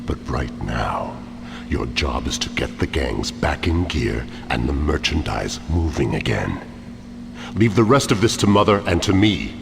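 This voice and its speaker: An actor playing a menacing old crime-family patriarch in a video game, grandiose and commanding, with a deep gravelly voice. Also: male voice, big pitch swings, noisy background, exaggerated voice. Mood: stern, commanding. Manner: slow, grandiose pronouncements. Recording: long reverb over rumbling machine ambience.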